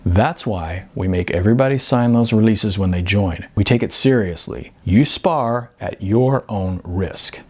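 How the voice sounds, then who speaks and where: A man's voice: lowish voice, a little slow, hardly any pauses, a little mellow. A man voicing a no-nonsense kickboxing trainer in an audiobook, firm, clipped and instructive. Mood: firm warning.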